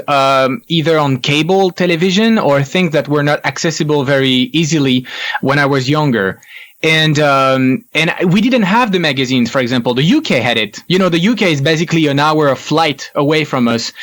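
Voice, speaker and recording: Excitable French guy, slightly high voice. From France. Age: young adult. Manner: excitable, quick, laughs often. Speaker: podcast guest. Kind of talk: casual chat.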